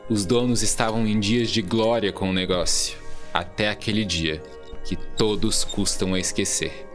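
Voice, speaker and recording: A man's voice: lowish voice, steady tone, moderate pace, faint music behind. A man reading scripted narration as the storyteller of an audio drama.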